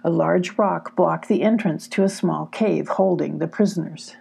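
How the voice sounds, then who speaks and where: An older woman narrating a children's storybook as host and reader, in an unhurried, expressive way. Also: fairly low pitch, gravelly, slightly rough.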